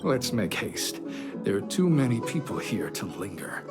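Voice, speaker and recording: A man's voice: on the low side, sing-song, measured pace. A man playing a furtive, devout cult recruiter in a video game, speaking in a formal, reverent and persuasive way. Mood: ingratiating, furtive. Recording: game music behind the voice.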